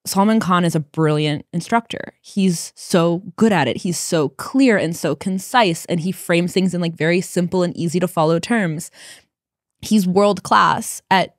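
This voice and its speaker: A woman in her thirties hosts an interview, speaking thoughtfully and clearly. Her voice sits a little low. The studio mic sound is dry and close. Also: a little slow, no echo. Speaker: podcast host. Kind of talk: casual interview conversation. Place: dark podcast studio. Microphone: studio mic on boom arm, headphones.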